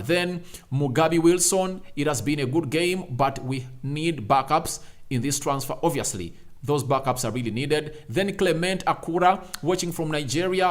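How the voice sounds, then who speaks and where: A man hosting a live football reaction show, reading out viewer comments and giving his views in an emphatic, repetitive way. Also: mid-range voice. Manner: emphatic, repeats phrases for effect.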